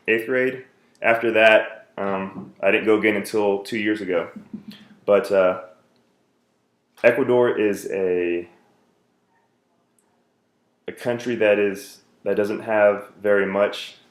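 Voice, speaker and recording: A man's voice: fairly low pitch, echoey room. A man in his thirties, from the United States, giving an earnest self-introduction speech for a class, with many fillers and restarts.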